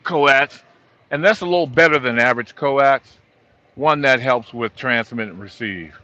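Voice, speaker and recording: Bright-voiced older man, dull lo-fi audio. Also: mid-range voice, unhurried, no echo. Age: middle-aged or older. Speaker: truck driver vlogger. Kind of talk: informal hands-on gear walkthrough.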